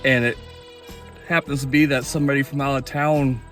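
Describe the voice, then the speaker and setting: A man's voice: mid-range voice, level tone, fairly quick, fairly bright tone, soft background music. A man talking casually and enthusiastically in an informal vlog as an amateur radio operator.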